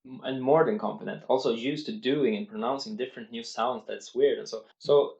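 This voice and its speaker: Male vlogger at a middle pitch, earnest and step by step, recorded on muffled lo-fi audio. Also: fairly even intonation, fairly quick, gravelly, darkish tone, roomy sound. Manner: earnest, explains step by step with many restarts. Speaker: language-learning vlogger. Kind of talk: unscripted talk to camera.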